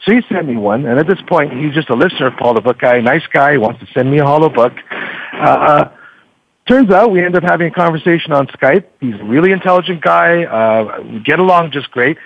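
A man's voice: on the high side, lively intonation, fairly quick, creaky, breathy edge, dry recording, lo-fi sound. A man speaking as a podcaster guest in a casual interview, telling anecdotes in a chatty, hesitant way. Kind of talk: casual, conversational interview talk.

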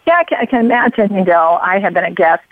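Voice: mid-range voice, very animated, quick pace, dry recording. A radio show host interviewing a guest, talking in long rambling runs full of fillers and restarts and drifting into personal stories. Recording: phone-like narrow sound.

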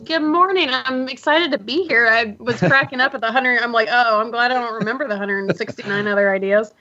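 A woman's voice: fairly high pitch, a little fast, pushed and bright. A young adult woman from the US South, a podcast guest talking casually and laughing often at herself. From Georgia, US South. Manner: chatty, laughs often, self-deprecating. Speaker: podcast guest, business founder. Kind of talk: casual interview talk. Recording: muffled lo-fi audio.

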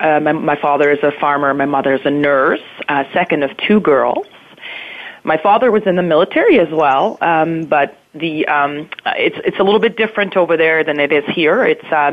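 Swiss woman guest on a phone line. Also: low voice. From Switzerland. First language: Swiss German. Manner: hesitant, many ums and uhs. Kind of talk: interview answers.

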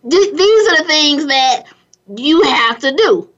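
A woman with a squeaky, fairly high voice that sounds bright and sharp, talking in a loose, energetic, motivational way. She laughs easily and restarts her sentences often. The recording is slightly muffled and lo-fi. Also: slow pace. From California. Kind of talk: casual motivational chat.

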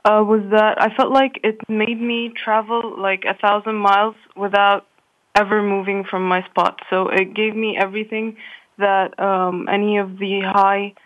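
A woman's voice: mid-range voice, little pitch movement, a little slow. Hesitant young woman student, dull line. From Qatar. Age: young adult. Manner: hesitant, many fillers. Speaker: engineering student guest. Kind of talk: casual interview answers.

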